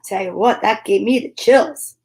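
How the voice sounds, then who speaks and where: A woman's voice: medium pitch, normal speed, vocal fry, darkish tone, slight background noise. A woman hosting a story time, reading a children's tale dramatically with comic asides in a theatrical manner. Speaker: host and storyteller.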